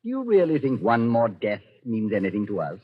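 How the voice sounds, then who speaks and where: An actor playing a coolly menacing Nazi colonel in 1940s radio style, commanding and sardonically polite. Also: male voice, on the low side, wildly swooping pitch, a little fast. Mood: smooth menace. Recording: dull, husky-sounding old radio recording.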